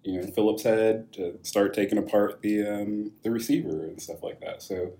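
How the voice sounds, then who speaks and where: A man's voice: lowish voice, steady tone, normal speed, mellow. A young man from California, answering interview questions in a casual, thoughtful way, with many fillers and restarts and light laughs. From California, USA. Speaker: guest, wine company founder.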